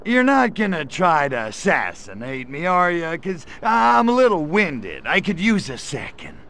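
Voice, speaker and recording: A man's voice: a little high, wildly swooping pitch, a little slow, fairly bright tone, heavily acted. An actor voicing a brash, flirty male grey alien invader in a video game cutscene, cracking jokes in slangy lines. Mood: incredulous. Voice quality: gravelly, slangy wisecracking delivery.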